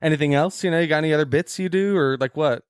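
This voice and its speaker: A man's voice: on the high side, racing, smooth, no echo, studio quality. A young man co-hosting a podcast, playful and rambling in casual chat. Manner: playful, rambling, many fillers. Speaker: podcast co-host. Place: home studio.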